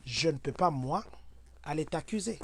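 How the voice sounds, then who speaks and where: A man talks through everyday advice for his community. He is earnest and preachy, and his voice jumps to loud exclamations when he makes a point. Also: a little high, lively intonation, moderate pace, a little hiss or hum. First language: Swahili. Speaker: community advisor.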